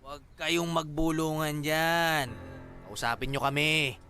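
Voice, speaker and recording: An actor playing a menacing, mocking drunken thug who bullies and taunts in a radio drama. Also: male voice, a little high, fairly even intonation, slow pace, bright, forceful tone, soft background music, heavily acted. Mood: mocking menace. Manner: taunting, swaggering, punctuated with mocking laughter.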